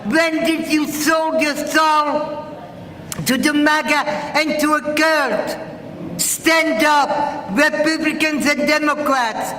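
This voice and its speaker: Very high, forceful Belgian-accented woman. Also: fairly even intonation, slow. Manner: indignant, emphatic, rhetorical questions. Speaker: audience member at town hall. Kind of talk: impassioned public testimony. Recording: echoey noisy hall PA recording.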